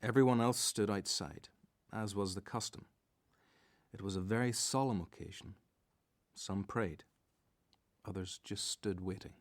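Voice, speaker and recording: A man's voice: fairly low pitch, a little slow, pauses often, natural voice. A man giving scripted narration for a radio drama.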